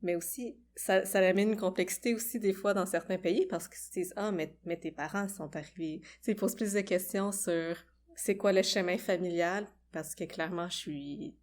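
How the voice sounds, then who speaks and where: A woman's voice: fairly low pitch, moderate pace. A young woman with a Quebec French accent, hosting a podcast and musing conversationally. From Quebec, Canada. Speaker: podcast host. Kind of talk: casual conversation.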